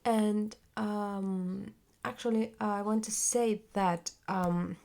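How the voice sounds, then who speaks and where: Hesitant Spanish-accented young woman, gravelly. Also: medium pitch, fairly even intonation, takes it slowly, a little mellow. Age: 20s. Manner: hesitant, many fillers and restarts, searching for words. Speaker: vlogger and theater actress. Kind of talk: casual talking-to-camera vlog.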